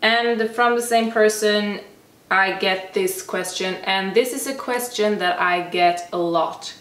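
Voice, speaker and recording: A woman's voice: medium pitch, slow pace, a bit bright, reverberant, far from the mic. A young woman from Sweden speaking English with a Swedish accent, casually answering viewer questions in a chatty way with lots of "like". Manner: chatty, frequent "like" fillers, self-restarts. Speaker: fitness vlogger. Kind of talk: casual Q&A talk.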